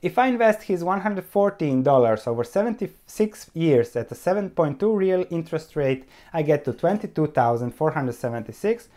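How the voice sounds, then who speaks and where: Man, 30s, solo video host, lively swinging pitch, emphatic and hands-on, close lapel sound. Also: normal speed, few pauses. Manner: animated, gesturing with both hands, emphatic. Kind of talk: solo explainer to camera. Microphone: lapel mic.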